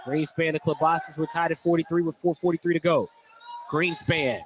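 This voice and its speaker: Man calling basketball over loud gym noise. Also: fairly high pitch, fairly even intonation, a little fast. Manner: clipped running descriptions of play. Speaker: play-by-play announcer. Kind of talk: live basketball radio commentary. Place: indoor school basketball gym. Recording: noisy gym behind the voice. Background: loud gym noise, bouncing basketballs.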